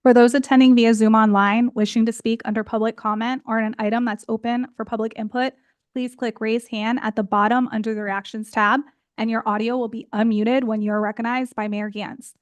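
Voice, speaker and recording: A woman city clerk reading instructions in a clear, measured way at a commission meeting. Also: fairly high pitch, level tone, no room sound.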